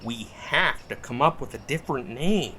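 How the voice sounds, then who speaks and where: A man's voice: mid-range voice, moderate pace, a little hiss or hum. A man playing a cocky, wisecracking would-be podcast storyteller in naturalistic audio drama dialogue, with a flippant manner. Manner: flippant, deadpan joking.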